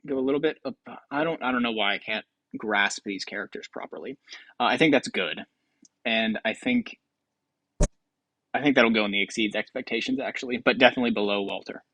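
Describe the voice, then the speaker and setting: A man's voice: medium pitch, fairly even intonation, moderate pace, pauses often, fairly bright tone, dry recording, muffled recording. Casual, dry-sounding male gaming commentator. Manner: hesitant, rambling thinking aloud. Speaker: video host.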